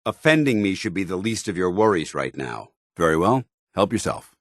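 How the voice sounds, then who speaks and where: An actor playing a stern, loyal, zealous soldier in a video game, speaking gruffly with earnest, clipped military formality. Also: male voice, sits low, big pitch swings, moderate pace, dry recording, clean studio sound. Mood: steady, firm. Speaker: companion soldier character.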